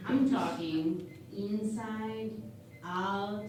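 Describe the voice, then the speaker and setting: A woman playing an officious, salacious starship doctor in a parody radio play, lecturing in a deliberate manner. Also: mid-range voice, steady tone, a little mellow. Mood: suggestive. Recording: very echoey room, distant mic, noise.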